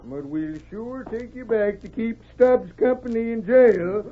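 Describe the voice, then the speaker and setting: Folksy Western sheriff, rustic, excitable, very high pitch, muffled vintage radio. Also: male voice, a little slow. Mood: gloating. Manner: rustic drawling cowboy talk. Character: excitable, folksy male frontier sheriff on horseback. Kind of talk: 1940s Western radio acting. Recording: muffled old radio recording, loud noise.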